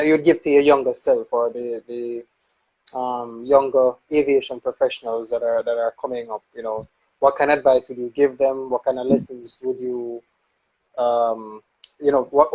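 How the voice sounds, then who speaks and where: A man's voice: medium pitch, a little mellow. A young man hosting a casual interview, tentatively phrasing and rephrasing his questions. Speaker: interviewer, host. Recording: slightly muffled, lo-fi sound.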